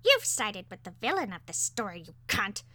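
A woman's voice: sits high, big pitch swings, a little slow, sharp tone, pitched above the performer's own voice, exaggerated voice. A woman voicing a small, vengeful male parakeet in a storybook tale, scolding and squawking melodramatically. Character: indignant, vengeful talking male pet parakeet, small and shrill.

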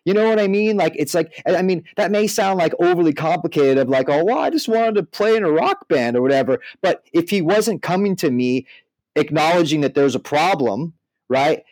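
A man's voice: a little high, a little mellow, no echo, rough recording. A man co-hosting a podcast, discussing an article in an informal, emphatic way and sometimes reading from it. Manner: informal, emphatic, peppered with "like" and "I mean". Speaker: podcast co-host.

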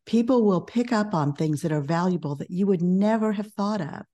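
Calm, measured middle-aged woman. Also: mid-range voice. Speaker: guest, business coach and author. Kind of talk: podcast interview.

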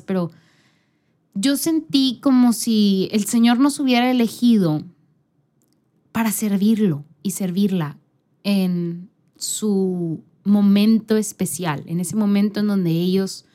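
A woman's voice: mid-range voice, dark tone. A woman podcast host giving a casual, personal faith talk, conversational and earnest, speaking directly to the listener. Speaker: podcast host and church singer.

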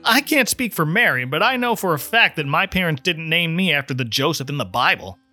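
A man's voice: a little high, lively intonation, brisk, sharp tone, no echo, faint music behind, exaggerated voice. A man reading the part of a flustered, skeptical, sarcastic man who doubts himself, in a storybook narration. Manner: incredulous, sarcastic.

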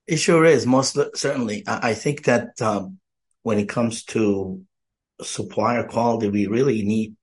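A man speaking as a podcast guest, hoarse today, explaining earnestly with many fillers. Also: fairly low pitch, unhurried. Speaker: podcast guest, product development head. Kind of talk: casual podcast chat.

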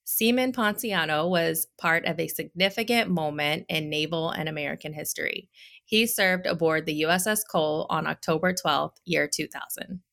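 A woman's voice: deep voice, normal speed, creaky, fairly bright tone. A woman podcast host reading an earnest scripted introduction and welcoming her guest.

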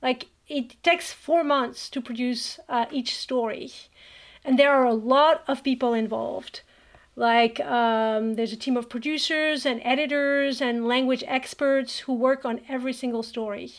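A woman from northern France, a podcast guest chatting casually, emphatic and quick to laugh. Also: high-pitched, steady tone. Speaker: managing editor, podcast guest.

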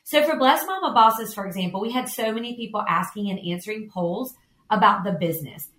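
A woman's voice: medium pitch, brisk, fairly bright tone, roomy sound. A woman co-hosting a podcast, giving chatty, encouraging advice. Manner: chatty, encouraging, laughs easily. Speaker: podcast co-host.

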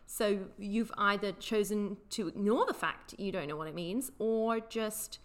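A woman's voice: medium pitch, a little slow. A woman co-hosting a casual chat, explaining slang with frequent laughter. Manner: laughs often, explains patiently. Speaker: podcast co-host.

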